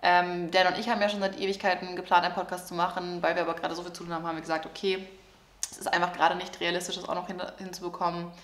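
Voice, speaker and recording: A woman in her twenties from northern Germany, chatting excitedly with many fillers in a casual vlog. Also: on the low side, rapid-fire, reverberant. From Germany, Lower Saxony near Hamburg. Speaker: vlogger. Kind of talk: casual life update vlog. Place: apartment room.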